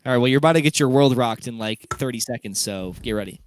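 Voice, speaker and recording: A man's voice: medium pitch, fast talker. A man co-hosting a podcast, reacting casually and teasingly with friends in slangy talk. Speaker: podcast co-host.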